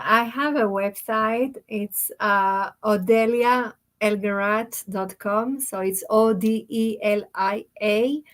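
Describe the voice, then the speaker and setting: A woman from France with a French and Hebrew accent, chatting as a guest author and laughing often. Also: medium pitch. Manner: laughs often, slightly non-native phrasing. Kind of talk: casual interview chat.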